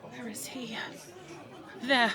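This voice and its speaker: A woman's voice: fairly high pitch, flat delivery, slow pace, pushed and bright, some chatter behind, exaggerated voice. A woman playing a headstrong, caring young English daughter in a 1920s audio drama, with well-spoken, formal period phrasing. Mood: alarmed. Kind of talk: scripted audio drama acting.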